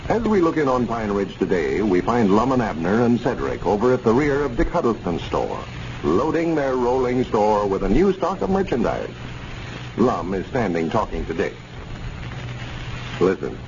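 A man narrates a 1930s radio comedy in a low, animated storytelling voice. The old recording is muffled with faint hiss. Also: normal speed. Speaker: announcer.

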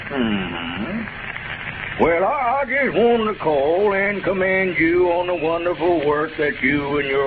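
A scratchy, thin old radio broadcast with heavy noise. a sanctimonious small-town squire with a drawl, his pitch swooping as he hems, haws and flatters with pompous, oily politeness. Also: phone-quality sound. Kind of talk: 1930s radio comedy acting.

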